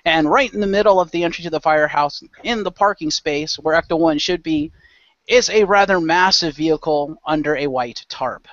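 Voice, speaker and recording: Higher-voiced man narrating, dull lo-fi audio. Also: moderate pace, fairly bright tone, dry recording. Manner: informal, mildly profane. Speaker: game master. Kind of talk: casual improvised narration of a tabletop-style role play.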